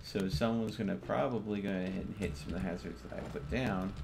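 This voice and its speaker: A man talks casually through a live game stream. There is clear noise behind him, with keyboard typing. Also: sits low, fairly quick. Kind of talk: casual live gameplay commentary and chat. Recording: noisy gaming setup with keyboard clatter. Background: keyboard typing, steady background noise.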